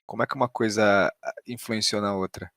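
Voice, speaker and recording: A man with a Brazilian accent hosts a conversational podcast interview. His voice is on the low side and recorded close and dry, with no room sound. He builds his questions carefully and reflectively. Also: steady tone, a little fast, a bit bright, no room sound.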